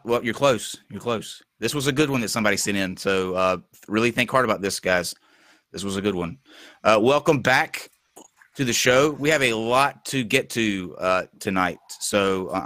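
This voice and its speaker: A man hosting a casual talk show, losing his voice and talking haltingly with many fillers. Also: fairly low pitch, bright, forceful tone, no room sound. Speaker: show host.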